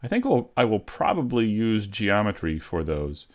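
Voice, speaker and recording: A man hosting a casual tutorial livestream, thinking aloud in a hesitant way with long pauses. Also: on the low side, big pitch swings, darkish tone. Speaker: livestream host teaching 3D modeling.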